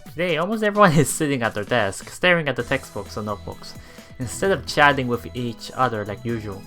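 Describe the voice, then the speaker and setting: A man reading a visual novel aloud as a let's play streamer, haltingly, with repeats and self-corrections. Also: medium pitch, expressive. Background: soft game music.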